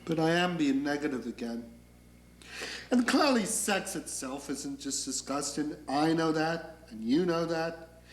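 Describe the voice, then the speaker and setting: A man's voice: a little high, lively intonation, measured pace, a little hiss or hum. A man acting a theatrical comic monologue as a neurotic, negative character, wry and confiding. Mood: wry, disdainful. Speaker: actor performing a monologue. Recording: echoey room.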